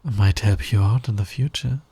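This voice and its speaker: A man playing a sly, teasing, dominant fantasy villain in an intimate ASMR roleplay, breathy and husky, often near a whisper. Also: fairly low pitch, mellow, dry recording. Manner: slow, teasing, deliberate delivery. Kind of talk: intimate ASMR roleplay, romantic audio drama.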